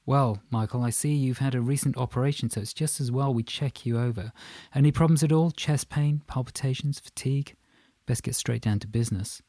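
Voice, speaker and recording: Man voicing a calm, bland woman doctor. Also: mid-range voice, brisk, dry recording, lightly acted. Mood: calm, professional. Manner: bland, professional. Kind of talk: audiobook character dialogue.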